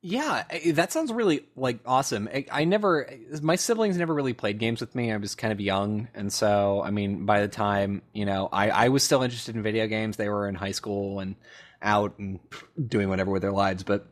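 A man's voice: on the low side, moderate pace. A man hosting a podcast in casual chat, musing aloud with fillers and restarts. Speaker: podcast host.

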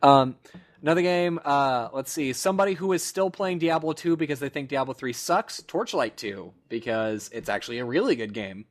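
A young man co-hosting a gaming podcast, his voice sitting at an ordinary middle pitch. He talks in a loose, rambling way, full of fillers, and laughs at his own stories. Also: moderate pace. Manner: rambling storyteller, laughs often, many fillers. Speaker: podcast co-host. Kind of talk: casual gaming podcast chat.

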